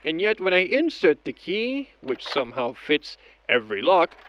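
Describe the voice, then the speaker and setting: A man playing a methodical scientist in an audio drama, narrating each step of an experiment log in a measured, clinical way. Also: fairly high pitch, very animated, fairly bright tone. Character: methodical male scientist doctor logging experiments on tape. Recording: dull lo-fi audio with background noise.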